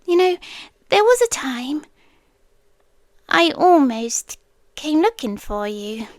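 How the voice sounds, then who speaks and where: A wistful forest being in the shape of a devoted girlfriend , acted by a woman, with a high British voice. She talks extremely slowly and intimately, often dropping into whispers. It is an ASMR roleplay, close and dry on the microphone. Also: very animated, no echo, heavily acted. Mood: wistful, uneasy. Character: wistful, devoted inhuman childhood friend from the forest, yandere girlfriend. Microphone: close, dry studio-style microphone.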